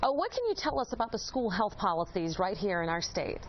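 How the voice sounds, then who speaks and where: A woman's voice: mid-range voice, animated, a little fast. A woman hosting a broadcast-style interview, introducing the subject and putting questions to a guest. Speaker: interview host. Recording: dull lo-fi audio, clearly noisy.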